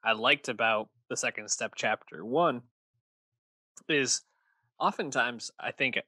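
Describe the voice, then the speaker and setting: A man's voice: medium pitch, takes it slowly, sharp tone, breathy edge, no room sound. A man co-hosting a music review podcast, chatting in an easygoing way with small hesitations. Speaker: podcast co-host. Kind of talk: casual music review chat.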